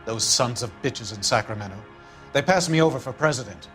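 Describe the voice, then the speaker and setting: An actor playing a smug, middle-aged, corrupt insurance vice president in a video game, haughty, wordy and condescending. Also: male voice, medium pitch, animated, brisk, a bit bright, soft background music, natural voice. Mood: smug, defiant. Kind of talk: dramatic video game acting.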